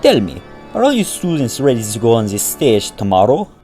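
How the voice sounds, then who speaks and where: A man's voice: mid-range voice, big pitch swings, a little fast, natural voice. A man playing a pushy, brash, needling school newspaper reporter in an audio drama. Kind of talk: podcast audio drama acting. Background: music.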